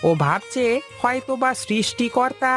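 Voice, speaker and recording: A woman's voice: high-pitched, normal speed, a bit bright. A woman voicing a loyal, deferential servant and messenger in a cartoon, speaking assuringly. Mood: reporting news. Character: loyal servant-messenger watching over the master's daughters. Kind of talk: Bengali cartoon voice acting. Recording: music under the voice.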